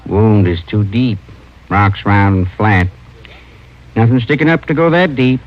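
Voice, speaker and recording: A man's voice: lowish voice, measured pace, lightly acted. A man playing a stubborn small-town coroner with a rural Southwestern American accent in an old radio drama. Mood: puzzled. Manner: folksy, plain-spoken. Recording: dull, faintly noisy old radio recording.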